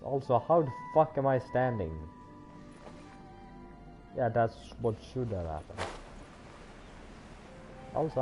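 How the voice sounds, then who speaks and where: Male game streamer, mid-pitched, rambling off the cuff, with clear game music behind him. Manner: rambling, thinking aloud. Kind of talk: casual livestream chat while gaming.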